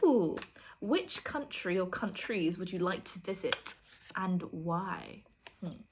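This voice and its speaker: A woman's voice: fairly low pitch, animated, slow pace, a little hiss or hum. A young woman from the UK, a university student vlogging in a casual get-to-know-me chat, chatty and giggly. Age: young adult. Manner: chatty, giggly, self-deprecating.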